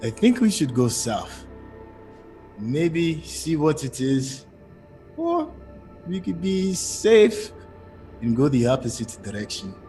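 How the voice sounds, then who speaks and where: A man with a fairly high, very animated voice chats casually as a player in a tabletop game. He is questioning and deliberating, with music playing underneath. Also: slow pace, long pauses.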